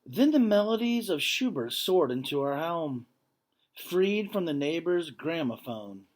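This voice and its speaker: A man reading a picture book aloud like a teacher to students, with measured phrasing and casual explanatory asides. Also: on the high side, very animated.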